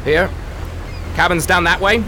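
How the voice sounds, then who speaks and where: A man voices a gruff, impatient ship captain who bites off short answers. His voice rides a little high and sounds a step back from the mic. Faint dockside sounds linger behind. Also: unhurried, noisy background. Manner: curt, brusque.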